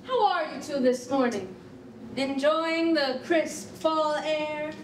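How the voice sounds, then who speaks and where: A woman plays an upbeat, patient school counselor with a very high voice. It is a staged reading, picked up from a distance in a roomy hall with faint noise. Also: slow pace. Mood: cheerful. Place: concrete rehearsal hall, live staged reading. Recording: roomy, distant mic, noisy rumble.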